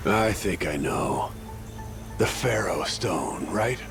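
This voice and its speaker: An actor playing a gruff, loyal sea captain, tough and blunt but caring, in a dramatic game cutscene. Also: male voice, low voice, expressive, slow, full character voice. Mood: uneasy. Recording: music under the voice.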